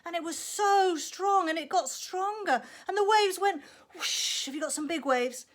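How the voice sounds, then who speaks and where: A woman telling a story to young children in an animated, expressive way, prompting with questions and acting out sound effects. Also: very high voice, a little slow.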